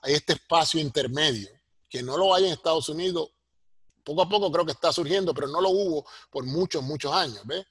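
Husky Puerto Rican man, professorial. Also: fairly high pitch, a little fast, a bit bright, no room sound, clean studio sound. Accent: Puerto Rican Spanish. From Puerto Rico. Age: middle-aged or older. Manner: professorial, punctuates points with tag questions. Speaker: sociology professor, interview guest. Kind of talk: conversational explanation in an interview.

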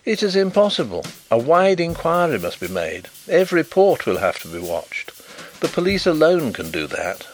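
A man playing a suave gentleman detective, confident and dryly courteous, with a lively rise and fall in his phrasing. The delivery is measured, with faint music in the background. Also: on the high side, voice pushed up. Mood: assured.

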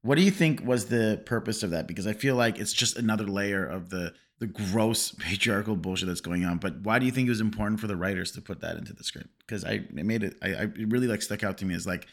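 A man's voice: fairly low pitch, fast talker, hardly any pauses. A man co-hosting a casual film podcast, rambling with many fillers. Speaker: podcast co-host.

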